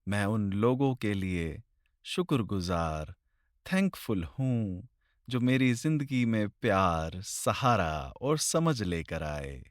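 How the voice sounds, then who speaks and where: A man leading a guided meditation in slow, deliberate phrases with long pauses, recorded close to the mic. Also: on the low side, animated, no room sound.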